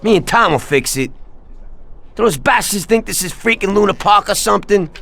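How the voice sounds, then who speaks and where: An actor playing a hot-headed, violent mafia enforcer, brash and slangy, in a video game cutscene. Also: male voice, high voice, animated, normal speed, creaky, sharp tone, heavily acted. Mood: angry, eager.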